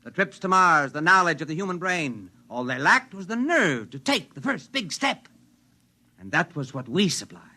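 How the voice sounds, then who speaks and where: A self-important idealist makes his case in 1950s radio drama style. His voice is high and very forceful, swinging widely in pitch as he declaims with zeal and insistence. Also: very animated, moderate pace, exaggerated voice. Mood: zealous, grandiose. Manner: fervent, declamatory speechmaking.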